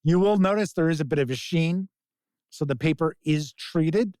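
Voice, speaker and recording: A man presenting a casual tabletop game review as host, speaking in deliberate, emphatic phrases. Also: a little high, a little mellow, dry recording, clean studio sound. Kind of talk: casual scripted-outline product review to camera.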